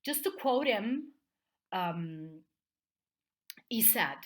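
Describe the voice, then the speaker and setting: A woman's voice: mid-range voice, sing-song, extremely slow. A woman with an Italian accent teaching in an explanatory video lesson, often checking in with short tags at the end of phrases. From Italy.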